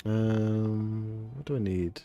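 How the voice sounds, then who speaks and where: A woman's voice: very deep voice, slow, dark tone, lo-fi sound. A woman game streamer giving casual live commentary, muttering to herself as she plays. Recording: game music behind the voice. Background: video game music.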